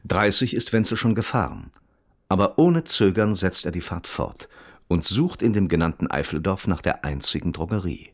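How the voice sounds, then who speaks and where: A man's voice: low-pitched, animated, normal speed, no echo, studio quality. A man playing a radio reporter who narrates a crime case in a formal, precise, matter-of-fact documentary style. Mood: sober, factual.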